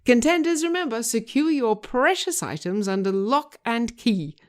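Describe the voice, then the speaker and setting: A woman's voice: a little high, expressive, normal speed, pitched above the performer's own voice, lightly acted. A woman voicing a showy, gleefully goading male reality TV host with announcer-like suspense in a scripted reading. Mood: teasing announcement.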